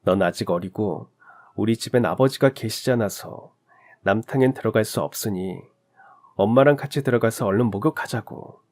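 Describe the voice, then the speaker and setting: A man voicing a troubled young single mother, speaking softly and pleadingly to her child. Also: lowish voice, moderate pace. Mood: gently firm.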